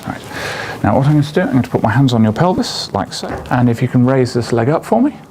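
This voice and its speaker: A man's voice: medium pitch, very animated, fast talker, warm tone, slight background noise, poor audio. A man working as a doctor, giving polite, procedural step-by-step instructions during a clinical exam. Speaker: doctor examining a patient.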